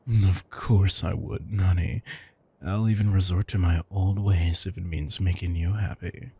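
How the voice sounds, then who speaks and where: A man playing a retired supervillain turned caring, thrifty house husband in an intimate audio roleplay, smug, dry and affectionate, with a husky, gravelly voice. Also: low voice, steady tone, measured pace, dark tone, full character voice.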